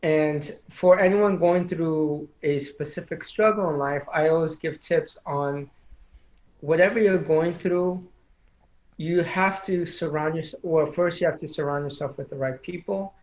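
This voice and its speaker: An earnest man whose voice sits a little high, giving motivational advice as a podcast guest. He speaks in a speech-like, encouraging way, and English is not his first language. The sound is echoey, as if he is in a bare room. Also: measured pace, a little mellow. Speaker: interview guest, public speaking coach. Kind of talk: casual interview chat.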